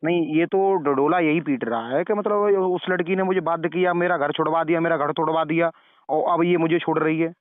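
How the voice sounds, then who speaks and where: Man, clear resonant voice, moralizing phone caller who repeats himself to make points; dry, telephone-grade sound. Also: a little high, fairly even intonation, brisk, no room sound. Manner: moralizing, preachy, repeats phrases. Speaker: caller questioning and advising the other party. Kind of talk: phone conversation. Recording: narrow tinny phone-call recording.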